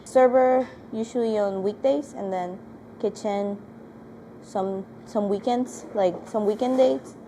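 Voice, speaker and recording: A woman's voice: medium pitch, slow pace, dark tone, a little hiss or hum. A woman from Venezuela who works as a server at a ramen restaurant, chatting casually and friendly in an interview. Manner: friendly, chatty, many likes.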